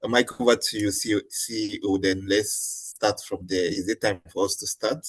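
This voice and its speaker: Husky low-voiced man on a call. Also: fairly even intonation, no echo. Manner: effusive, quick to praise. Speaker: business partner co-hosting. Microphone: video call.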